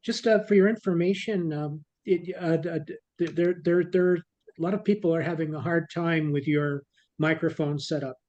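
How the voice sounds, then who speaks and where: A man, an audience member on a video call, asking a question in a halting way with many fillers and restarts. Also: a little high, steady tone, darkish tone, dull audio.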